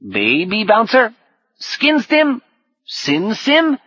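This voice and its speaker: A man voicing a childish, excitable young tribe creature in an audiobook, speaking in clipped, broken kid slang. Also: high voice, very slow, husky, no echo, dull audio, pitched above the performer's own voice, heavily acted. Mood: excitable, probing.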